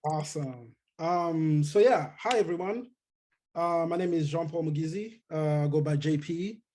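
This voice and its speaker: A man from Rwanda giving a relaxed talk on a video call, with many fillers and restarts. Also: on the high side, normal speed. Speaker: guest speaker. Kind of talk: casual technical talk.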